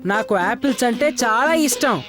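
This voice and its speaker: A woman's voice: on the high side, brisk, over music, natural voice. A woman voicing a pushy, greedy character in a dubbed children's cartoon. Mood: wheedling.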